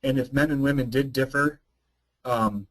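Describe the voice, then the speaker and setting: A hoarse man, a researcher, answering webinar questions in a measured, explanatory way. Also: medium pitch, steady tone, poor audio.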